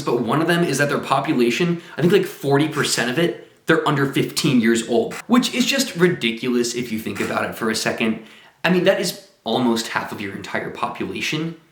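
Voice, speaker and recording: A man's voice: mid-range voice, a bit bright. A man talking casually to camera in a travel vlog, chatty and enthusiastic with lots of exclamations. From United States. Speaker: travel vlogger. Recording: roomy echo.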